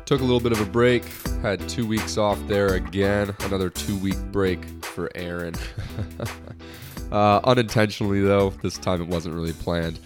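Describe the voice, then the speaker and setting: A man's voice: sits low, steady tone, normal speed, over music. A young man hosting his own podcast in a lighthearted, rambling way, slightly nasal from a recent cold. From United States. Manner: lighthearted, rambling, many restarts. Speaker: podcast host. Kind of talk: casual solo podcast talk.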